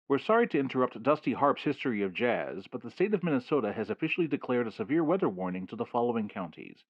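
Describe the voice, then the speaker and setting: Formal local TV weatherman played by a male actor, lively pitch swings, emergency-bulletin delivery, dull analog horror broadcast sound. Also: no echo. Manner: formal, measured announcer delivery.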